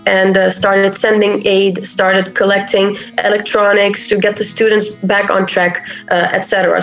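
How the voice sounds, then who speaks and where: A woman's voice: mid-range voice, poor audio. Woman guest answering with many fillers. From Netherlands. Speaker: guest, volunteer group co-founder. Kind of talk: radio interview answers.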